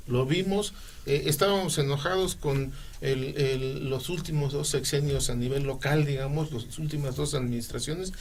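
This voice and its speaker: Man, co-host, reflective, repeats to build points, somewhat high pitch, faint hiss. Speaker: talk show co-host. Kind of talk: conversational interview.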